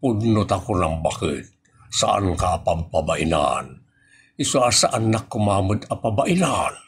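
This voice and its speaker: Brief: male actor as henpecked husband and scolding boss, Ilocano accent, low slow delivery, comedy radio play. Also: very animated, slightly rough, full character voice. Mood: hesitant, complaining. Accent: Ilocano Filipino. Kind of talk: Ilocano comedy audio drama acting.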